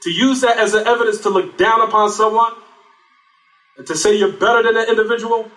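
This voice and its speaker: A man's voice: high voice, fairly even intonation, fairly quick, a bit bright. A man giving a religious lecture, often asking rhetorical questions and then answering them himself. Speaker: Islamic lecturer. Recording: echoey room, distant mic.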